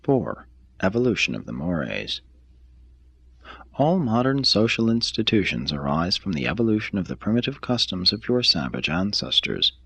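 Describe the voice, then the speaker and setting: A man reading a written text aloud like an audiobook, in a measured, formal, deliberate manner. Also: deep voice, very animated, gravelly, fairly bright tone, slightly rough, dry recording. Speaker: reader of a text. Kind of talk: scripted reading, audiobook-like narration.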